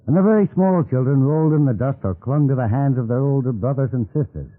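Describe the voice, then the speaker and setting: An actor playing a folksy small-town storyteller, narrating a scripted radio story. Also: male voice, medium pitch, expressive, fast, natural voice. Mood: calm, descriptive. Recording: tinny old radio broadcast, faint music.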